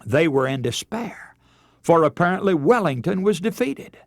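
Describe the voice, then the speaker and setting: A man preaching a radio sermon in a measured, earnest, oratorical way, telling illustrative stories. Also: fairly high pitch, sing-song, vocal fry, darkish tone, no echo, clean studio sound.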